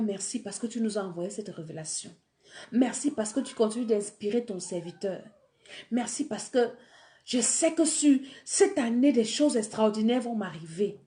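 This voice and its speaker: A fervent woman preacher, leading her listeners through prayer and exhortation. Her voice sits fairly high, and she has a cold. She drops into quiet murmured prayer, then climbs again into urgent preaching. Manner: fervent, swings between soft prayer and rising exhortation. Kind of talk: sermon with guided prayer.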